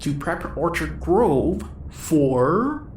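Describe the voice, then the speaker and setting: A man's voice: fairly high pitch, big pitch swings, extremely slow, roomy sound, slight background noise, heavily acted. An actor playing a skeptical, stubborn townsman with a dismissive, sardonic delivery in an audio drama. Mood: insistent.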